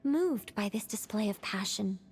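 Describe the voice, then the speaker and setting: A woman's voice: medium pitch, expressive, a little slow, a little mellow, husky. A woman voicing a composed, playful young female mage who tells tales theatrically in a video game. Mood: dramatic. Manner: theatrical storytelling. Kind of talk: video game voice acting, dramatic narration.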